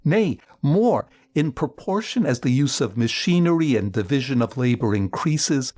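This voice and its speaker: A man giving a dramatic reading of a political text in a measured, declamatory way, with emphatic phrasing and deliberate pauses. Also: mid-range voice, lively intonation, a little mellow. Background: music.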